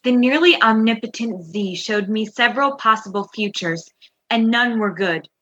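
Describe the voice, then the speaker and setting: A woman's voice: a little high, normal speed, a bit bright, no room sound, rough recording. A woman playing a gloomy first officer of a space station in a comedy sci-fi radio play.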